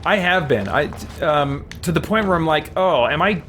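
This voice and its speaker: A man's voice: fairly high pitch, animated, normal speed, a bit bright, a little hiss or hum. A man livestreaming a game in a casual way, musing aloud as he chats and reads game text. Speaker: game streamer.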